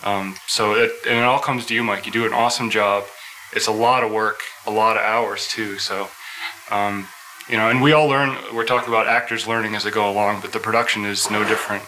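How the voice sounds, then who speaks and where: A man's voice: lowish voice, steady tone, brisk, bright, forceful tone. A man hosting a casual live panel at a convention, recorded in a noisy room. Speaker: host.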